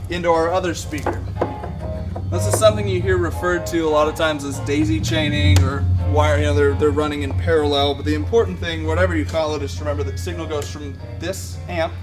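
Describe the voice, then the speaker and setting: A man presenting an informal hands-on equipment tutorial in a practical, conversational, explanatory way. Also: on the high side.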